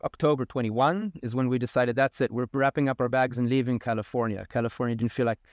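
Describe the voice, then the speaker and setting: A man in his thirties from Israel, a molecular biologist answering questions in a relaxed, thoughtful way. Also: mid-range voice, fast, no echo, studio quality. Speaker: podcast guest, molecular biologist. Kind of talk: conversational interview.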